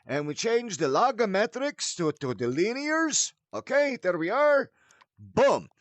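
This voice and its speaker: Male tutorial host, high voice with big swoops, showy and mock-formal yet folksy, recorded dry. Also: sing-song, a little slow, dry recording. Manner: theatrical, mock-formal wording mixed with folksy slang. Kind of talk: casual spoken game tutorial with showy greeting.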